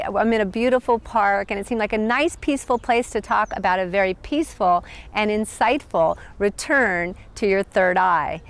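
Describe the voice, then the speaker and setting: An American woman teaching about chakras, talking casually to camera outdoors in a park, gentle and upbeat. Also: medium pitch. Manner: gentle, upbeat, laughs often. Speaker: chakra teacher, channel host.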